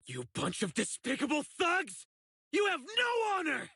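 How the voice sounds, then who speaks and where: A man's voice: very high voice, huge pitch swings, a little slow, creaky, pushed and bright, dry recording, clean studio sound, full character voice. An actor playing an earnest, righteous young male public security officer in a video game, speaking emphatically. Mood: angry, defiant. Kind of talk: video game character voice acting.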